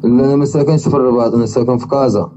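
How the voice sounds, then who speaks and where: A man's voice: mid-range voice, steady tone, racing, distant mic. A Moroccan man on a phone call, giving advice in a lecturing way and often filling with "ya'ni". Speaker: caller. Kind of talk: phone conversation. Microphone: phone line. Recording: dull phone line, faint noise.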